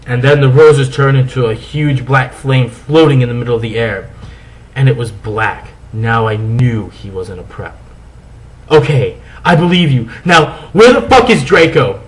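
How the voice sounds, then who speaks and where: A man's voice: medium pitch, a little hiss or hum, rough recording. A man narrating fan fiction as a comic dramatic reading, theatrical and mock-serious.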